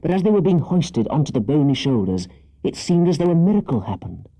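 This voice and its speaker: A man narrating a children's story as an audiobook reader, with dramatic, scripted delivery and a husky voice. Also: fairly high pitch, big pitch swings, a little fast, dark tone, no room sound, low-quality recording.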